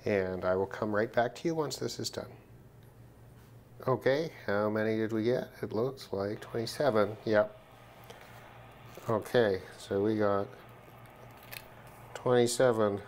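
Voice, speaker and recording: A man giving an informal hands-on gear demonstration, thinking aloud in a measured way as he goes. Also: on the low side, a little mellow, slight background noise. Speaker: hobbyist demonstrating a shortwave radio test. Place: indoors at a work desk.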